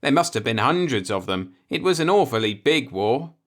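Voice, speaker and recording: A man's voice: mid-range voice, big pitch swings, normal speed, pitched above the performer's own voice. A man voicing an earnest, law-abiding and easily alarmed man in a science-fiction audiobook, with an insistent manner. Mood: earnest, defensive. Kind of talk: audiobook character voice in a sci-fi story.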